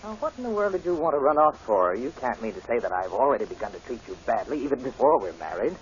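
A man's voice: mid-range voice, very animated, quick pace, lightly acted. A man playing a condescending, insistent army major and fiancé in old-time radio drama. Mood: coaxing. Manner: patronizing, insistent. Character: smooth, condescending military fiancé, insistent and suspicious. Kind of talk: 1940s radio acting. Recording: muffled, noisy old radio broadcast.

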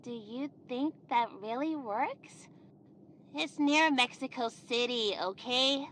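A woman's voice: high-pitched, slow, a bit bright, slight background noise. A woman playing a cheery, bossy young girl hosting a children's show in a game, with singsong delivery that can turn sarcastic. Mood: scornful, impatient. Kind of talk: scripted children's cartoon game acting. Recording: music behind the voice.

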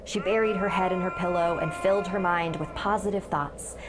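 A woman's voice: lowish voice, steady tone, fairly quick, rough recording. A woman in her thirties giving a scripted, dramatic narration as a scary-story teller. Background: background music.

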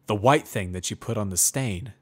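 Obsessive, withdrawn pony prisoner for a dark fantasy story, terse and intense played by a male reader. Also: lowish voice, normal speed, breathy edge. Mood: blurting.

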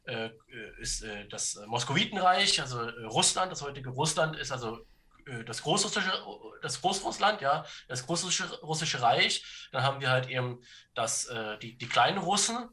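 Bright-voiced man explaining haltingly. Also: medium pitch, expressive. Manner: halting, searching for words, often checks with "ja". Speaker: podcast guest. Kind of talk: conversational explaining of history and politics.

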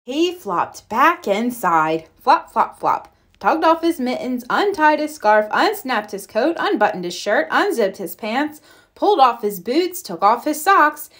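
A woman reading a children's picture book aloud expressively, deliberate and playful with the sound words. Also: on the high side, big pitch swings, a bit bright. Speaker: read-aloud storyteller.

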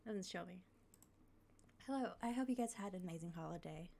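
A woman live-streaming a game, chatting casually with fillers and thanking followers, her voice slightly raspy as she comes down with a cold. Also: fairly low pitch, lively intonation, a little fast, dark tone. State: coming down with a cold.

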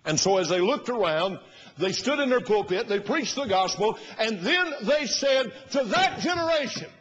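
A husky-voiced man, pitched fairly high for a man. His delivery is emphatic and oratorical. The sound is muffled, with the long reverb of a big church hall. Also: big pitch swings, normal speed. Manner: emphatic, oratorical, rising to loud bursts and dropping low. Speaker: pastor preaching. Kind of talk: fiery sermon. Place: large church sanctuary, at the pulpit platform.

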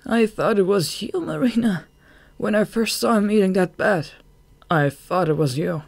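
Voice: medium pitch, measured pace, vocal fry, dry recording, pitched above the performer's own voice. A storybook narrator voicing a frightened, guilt-ridden young male bat with urgent, emotional outbursts. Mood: troubled.

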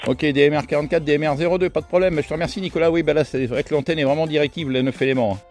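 A man's voice: fairly high pitch, rapid-fire, noisy background. A man talking casually as an amateur radio operator, trading call codes and signal reports. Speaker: amateur radio operator running contacts from a hilltop. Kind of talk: casual radio contact exchange with operator jargon. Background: music.

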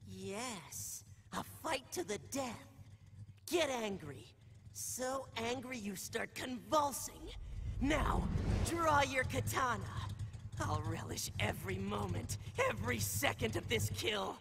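A woman's voice: a little high, lively intonation, slow. A woman playing a theatrical, sadistic deathmatch announcer in a video game, goading and savoring each word. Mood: coldly goading. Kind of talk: video game cutscene acting. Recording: long reverb, clear background noise.

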